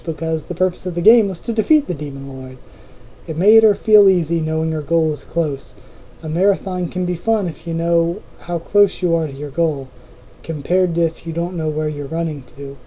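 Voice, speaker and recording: A hoarse host reading a novel aloud, breaking off for quick asides and going back over fumbled lines. The tone is deep and mellow, at a middling pitch. The delivery stays relaxed and unpolished. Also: slight background noise, poor audio. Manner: informal reading with restarts and self-corrections. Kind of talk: casual novel readthrough with asides.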